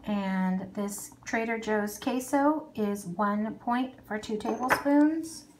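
A casual, chatty woman with a slightly raised voice talks through a grocery haul, thinking aloud and filling pauses with ums. She records on a phone in her home kitchen. The recording carries a steady layer of background noise. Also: a little high. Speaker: vlogger.

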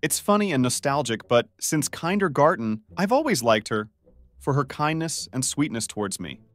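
A performer voicing a brash, excitable young male cartoon chicken in a comic dub, cocky and exclamatory, with music behind. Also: medium pitch, normal speed, natural voice. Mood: fond. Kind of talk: comic dub character acting.

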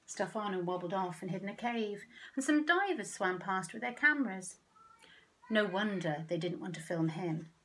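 A woman's voice: medium pitch, expressive, moderate pace, fairly bright tone, slight background noise. A middle-aged woman, a children's author, reading her picture book aloud expressively for young children, outdoors. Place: outdoors in a back garden.

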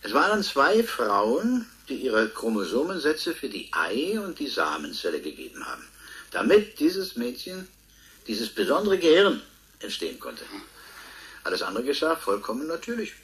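An actor playing a proud, defensive professor being interviewed in a radio drama, pedantic and pointed, with deliberate emphasis. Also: male voice, medium pitch, huge pitch swings, fairly bright tone. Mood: explanatory, precise. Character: proud, defensive male professor, Nobel-winning scientist.

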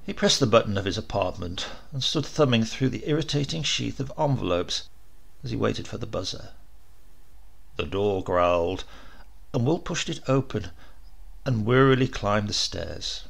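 A man's voice: lowish voice, expressive, normal speed, gravelly, slightly rough. A man narrating a short story as a scripted, dramatic audiobook reading.

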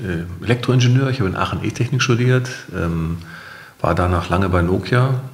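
A middle-aged man speaks conversationally as a guest in an interview. His voice is deep with plenty of fry, and the sound around it is roomy and echoing. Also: moderate pace. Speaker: company founder, interview guest.

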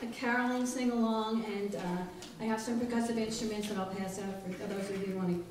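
A woman's voice: medium pitch, steady tone, a little fast. A middle-aged woman hosting a live event with a wry, hesitant, joking delivery. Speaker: library director and show host. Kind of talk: live welcome speech to an audience. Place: small library room indoors with a live audience. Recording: very echoey room, distant mic, faint noise.

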